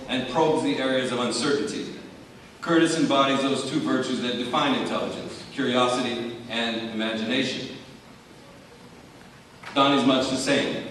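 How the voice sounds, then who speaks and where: A man's voice: medium pitch, a bit bright. Echoey, distant man giving a formal speech. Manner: measured, formal, articulate, dry wit. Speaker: high school social studies teacher giving award speech. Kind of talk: prepared speech, wryly humorous tribute. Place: large hall at an awards ceremony. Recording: very echoey hall, far from mic, faint noise.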